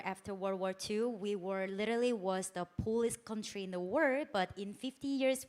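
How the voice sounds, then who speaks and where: A woman with a Korean accent, a native Korean speaker answering panel questions as a guest creator, halting and full of fillers. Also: medium pitch.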